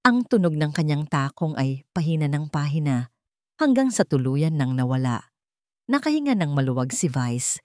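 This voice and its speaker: Woman narrating drama, lively pitch, dry studio. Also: no room sound. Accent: Filipino. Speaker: storyteller. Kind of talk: dramatic scripted narration of a romance story.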